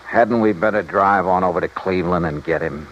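A man's voice: low-pitched, a bit bright, a little hiss or hum. A man playing a skeptical private investigator in an old radio detective drama, with a wry, clipped way of questioning and narrating. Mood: skeptical, probing. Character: hard-boiled, skeptical male private investigator, dryly probing. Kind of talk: 1950s-60s radio detective drama, first-person narration. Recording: dull old radio recording, music behind.

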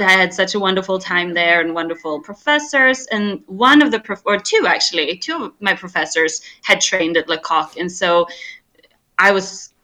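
A woman, telling her story as an interview guest, laughing often and poking fun at herself. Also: mid-range voice. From Sweden. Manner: laughs often, animated and self-deprecating storytelling. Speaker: guest, arts nonprofit director. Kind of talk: casual interview chat.

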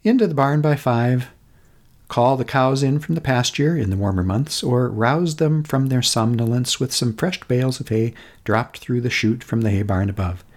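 Middle-aged American man narrating a memoir. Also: medium pitch. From Minnesota, United States. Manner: measured, literary storytelling with deliberate phrasing. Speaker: podcast host. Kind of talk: scripted narration, personal memoir essay.

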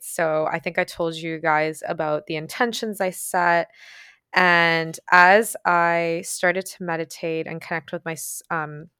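A woman's voice: fairly low pitch, a bit bright, clean tone, dry recording, studio quality. A woman from Ukraine who grew up speaking Ukrainian and Russian, telling a personal story in a casual, reflective and earnest monologue. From Ukraine, later moved to Canada. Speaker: vlogger sharing personal experiences.

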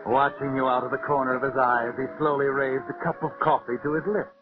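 An actor playing a sinister, knowing narrator who taunts the guilty in a 1940s radio suspense show. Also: male voice, mid-range voice, steady tone, fast talker, close to an everyday voice. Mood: sly taunting. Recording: muffled old radio recording with music.

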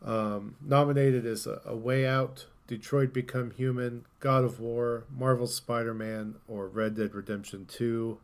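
A man's voice: mid-range voice, flat delivery, measured pace, hardly any pauses. A man co-hosting a gaming podcast in casual conversation, thinking aloud with some hesitation. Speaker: podcast co-host.

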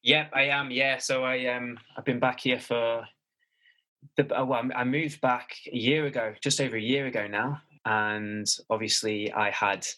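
Mancunian man, late 20s, podcast guest, bright voice, rambling delivery full of restarts. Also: medium pitch, fairly even intonation, a little slow, poor audio. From Manchester, England. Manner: rambling with frequent restarts and fillers. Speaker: guest, personal trainer. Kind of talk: casual interview chat.

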